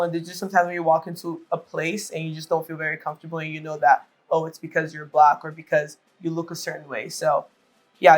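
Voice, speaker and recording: Flat-toned casual young-sounding man. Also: a little high. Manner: casual, frequent "like". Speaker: interviewee. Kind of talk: casual testimony.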